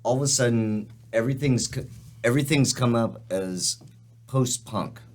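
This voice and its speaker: A man taking part in a casual radio talk show who asks questions. He speaks haltingly and restarts his sentences often. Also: mid-range voice. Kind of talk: casual studio chat.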